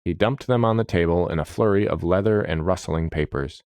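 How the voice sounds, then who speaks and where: A man's voice: deep voice, brisk, a little mellow, smooth, no room sound. A man giving dramatic scripted narration of a fantasy story as its storyteller.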